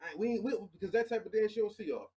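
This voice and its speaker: A man co-hosting a podcast, talking excitedly and stammering through restarts. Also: sits high, fast talker, a crowd in the background. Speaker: podcast co-host. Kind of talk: casual podcast chat.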